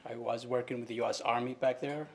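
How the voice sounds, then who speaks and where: A man from Iraq introducing himself as a new jail employee, speaking haltingly with many fillers. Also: mid-range voice, steady tone, gravelly.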